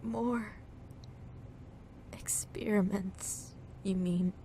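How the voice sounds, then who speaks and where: A woman playing a frightened, submissive young cult follower in an audio drama, halting, timid and deferential. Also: mid-range voice, steady tone, vocal fry, mellow, a little hiss or hum. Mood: cowed. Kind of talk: emotional audio drama acting.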